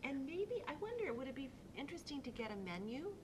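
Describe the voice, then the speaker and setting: A woman interviews her guest in a brisk, animated voice, prompting her with short encouraging questions. She talks quickly, and her pitch moves a lot. A clear low rumble runs behind her.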